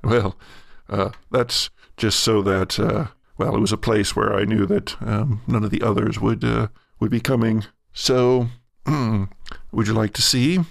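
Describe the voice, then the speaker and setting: Man playing a creaky, sly, sinister young man. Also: lowish voice, fairly even intonation, unhurried, dry recording, clean studio sound, full character voice. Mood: evasive, sly. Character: sinister, gleeful older brother in his late twenties.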